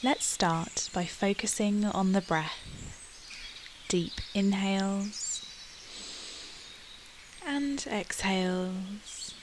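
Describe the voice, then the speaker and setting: A woman leading a guided meditation in a calm, soothing manner with long pauses. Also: mid-range voice, background noise. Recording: steady outdoor nature ambience with birdsong. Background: birdsong and nature ambience.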